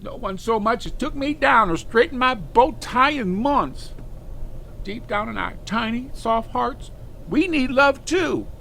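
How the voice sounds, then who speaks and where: A man's voice: very high voice, lively intonation, measured pace, creaky, bright, forceful tone, a little hiss or hum, heavily acted, poor audio. A man playing a wistful, huggable stuffed bear come to life in an audio drama, folksy and childlike. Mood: plaintive longing. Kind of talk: cartoonish audio drama acting.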